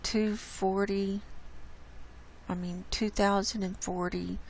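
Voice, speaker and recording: Hoarse, slow-talking woman. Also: mid-range voice, little pitch movement, slight background noise. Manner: unhurried, explains data on screen. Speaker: channel host presenting climate data. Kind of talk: casual screen-share presentation.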